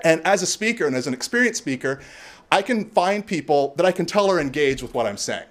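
A loud, outgoing man from Canada speaks from a stage in a bar venue, his voice pitched a little higher than most men's. He banters with the crowd, drops profanity and keeps an easy, joking energy through a talk on presenting. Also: lively intonation, fairly quick. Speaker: conference speaker. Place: bar venue before live audience.

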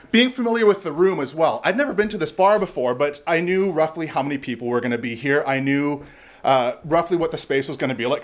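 A loud, outgoing man from Canada speaks from a stage in a bar venue, his voice pitched a little higher than most men's. He banters with the crowd, drops profanity and keeps an easy, joking energy through a talk on presenting. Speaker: conference speaker. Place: bar venue before live audience.

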